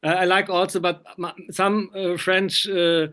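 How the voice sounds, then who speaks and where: Male interviewer, slightly high voice, chatty, halting English phrasing. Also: flat delivery, sharp tone, slight background noise. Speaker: film magazine interviewer. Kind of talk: casual interview over video call.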